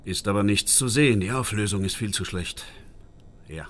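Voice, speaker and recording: An actor playing a matter-of-fact police forensic analyst in a radio drama, explaining things in a businesslike way. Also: male voice, fairly low pitch, normal speed, close to an everyday voice. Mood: focused. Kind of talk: radio drama, technical briefing.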